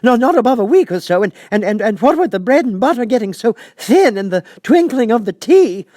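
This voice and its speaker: A man's voice: extremely high pitch, very animated, a little fast, darkish tone, no room sound, clean studio sound, voice pushed up. A man voicing a wretched, nervous male witness in a storybook, stammering, hesitant and pleading. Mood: trembling, pleading.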